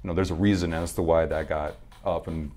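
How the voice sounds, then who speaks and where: A man as a podcast guest, telling rambling stories in casual chat with a slightly hoarse, smooth low voice. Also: fairly even intonation, fairly quick, a little mellow. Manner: rambling storyteller, many restarts and fillers.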